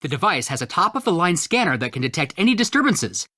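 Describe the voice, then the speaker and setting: An eager, earnest amateur ghost hunter in a mystery game. The delivery swings expressively with hopeful pride, and the recording is close and dry. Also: female voice, on the low side, big pitch swings, quick pace, bright, forceful tone, no room sound, full character voice. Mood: earnest, optimistic. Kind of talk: video game voice acting.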